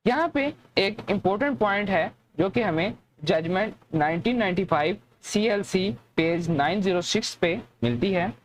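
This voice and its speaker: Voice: medium pitch, lively intonation, moderate pace, hardly any pauses. A lecturer with a Pakistani accent, explaining methodically in a teaching style and mixing in English terms. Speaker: law lecturer.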